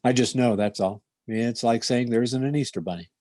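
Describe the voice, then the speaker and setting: A stoic police detective from an old-time radio crime parody, acted by a man. He speaks in clipped, matter-of-fact phrases at a medium pitch, completely deadpan. The sound is close and dry, with no room around it. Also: fairly quick, dry recording, lightly acted. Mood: stern. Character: stoic, no-nonsense male police detective narrating his case.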